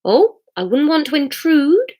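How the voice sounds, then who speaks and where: Woman playing a prim, high-voiced old village lady. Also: very animated, unhurried, warm tone. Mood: bright, nosy. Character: prim, sheepish elderly village lady, chatty and nosy.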